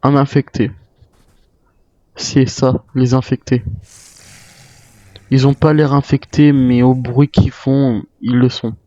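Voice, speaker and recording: A man's voice: medium pitch, flat delivery, slow, long pauses, mellow. A man improvising a survivor roleplay in a game, hesitant and musing aloud to himself as a weary retired soldier. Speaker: gamer role-playing a survival game. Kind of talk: improvised in-character roleplay monologue. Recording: dull, roomy microphone sound.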